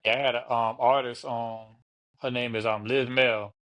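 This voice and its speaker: A man's voice: medium pitch, flat delivery, moderate pace, fairly bright tone. A man hosting a casual interview, asking questions in a hesitant way with lots of ums. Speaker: interviewer host.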